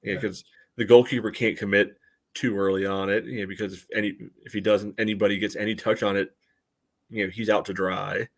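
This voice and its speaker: A man co-hosting a soccer fan podcast, reasoning through the game in a measured, casual way and often saying "you know". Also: fairly low pitch, little pitch movement, gravelly. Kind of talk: casual match analysis.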